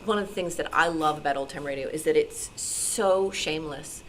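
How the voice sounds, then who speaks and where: A woman's voice: sits low, fairly quick. An American woman speaking informally and enthusiastically at a club meeting, answering questions as a radio programmer. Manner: enthusiastic, conversational. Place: club meeting, live room. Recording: noisy live meeting-room recording.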